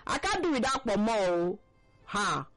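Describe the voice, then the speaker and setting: A woman in her early fifties with a Nigerian accent, hosting a conversational interview in an expressive, playful way and pressing for answers. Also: medium pitch, normal speed, over loud music. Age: early 50s. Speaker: interviewer, show host.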